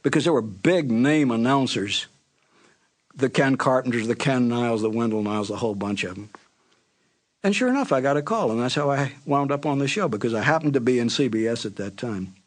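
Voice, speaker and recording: An older man, a former radio announcer, looking back on his career in a relaxed, conversational way and sometimes correcting himself mid-sentence. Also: mid-range voice, fairly quick. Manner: relaxed, conversational storytelling with small self-corrections. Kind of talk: reminiscing anecdote.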